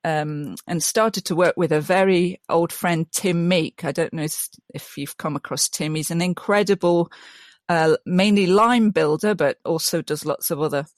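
A woman's voice: fairly low pitch, moderate pace, no room sound. Enthusiastic woman, dry close sound. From Yorkshire, England. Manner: enthusiastic, restarts often, many ums. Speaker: guest, mud mason. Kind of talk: casual interview chat.

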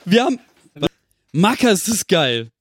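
Excited high-voiced man, bright and lively. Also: measured pace, no room sound. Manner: loud, swearing, laughing, excited. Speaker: fellow podcaster in a clip. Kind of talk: excited banter unboxing a gift.

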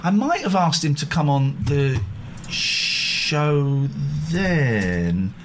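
Lively man hosting late-night radio. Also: drawn-out words, noisy background. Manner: joking, rambling, slips into a squeaky put-on voice. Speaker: radio show co-host.

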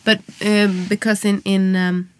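A woman's voice: medium pitch, level tone, measured pace, darkish tone, clear, resonant tone, no echo. Hesitant woman. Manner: hesitant, many ums and uhs, supportive. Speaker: interviewer. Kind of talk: interview conversation.

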